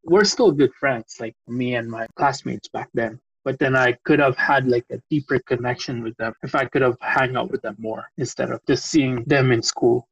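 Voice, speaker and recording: Panel guest, man in his thirties from the Philippines, husky voice, dull muffled audio. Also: medium pitch, steady tone, normal speed, hardly any pauses. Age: 30s. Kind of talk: personal storytelling in a panel discussion.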